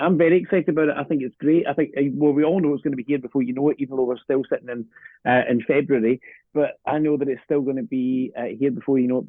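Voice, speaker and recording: A man's voice: mid-range voice, no echo, narrow, tinny audio. An enthusiastic, chatty man in a casual interview as a podcast guest, heard over a thin remote line. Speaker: pantomime director and writer, podcast guest.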